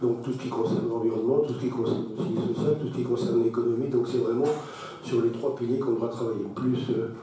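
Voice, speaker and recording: A man answering at a council meeting, explaining in halting, repeated phrases. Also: mid-range voice, steady tone, dark tone. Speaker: council official answering questions. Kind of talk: spontaneous explanation in a formal meeting. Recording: very echoey hall, distant mic.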